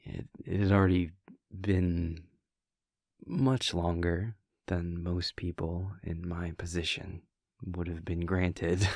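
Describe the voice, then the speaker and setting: A man playing an affectionate, wry vampire prince boyfriend in an intimate roleplay audio, talking in a casual, hesitant, soft-spoken way. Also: level tone, takes it slowly, dry recording, clean studio sound, full character voice. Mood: wistful, reflective. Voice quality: low, creaky. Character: playful male vampire prince, affectionate boyfriend, wryly reflective. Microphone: close, dry studio-style microphone.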